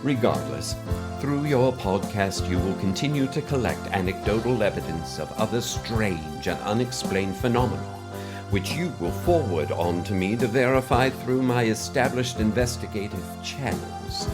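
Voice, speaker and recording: A man's voice: on the low side, lively intonation, normal speed, loud music behind the voice, close to an everyday voice. A man playing a pompous, mysterious conspiracy theorist in a formal, verbose, pedantic scripted monologue. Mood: pompous, earnest. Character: pompous mysterious male conspiracy-theorist scientist on a cassette letter.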